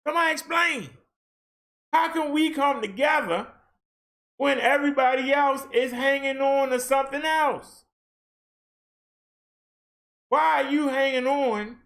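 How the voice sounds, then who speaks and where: A man vlogging a heated rant in casual colloquial speech, firing off rhetorical questions and at times shouting. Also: extremely high pitch, gravelly. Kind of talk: ranting social commentary.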